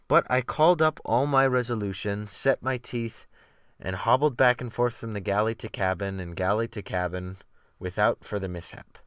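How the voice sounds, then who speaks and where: A man narrating a literary audiobook in a theatrical style with deliberate phrasing, close to the microphone. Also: on the low side, a bit bright, clear, resonant tone, no echo. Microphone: close USB desktop microphone.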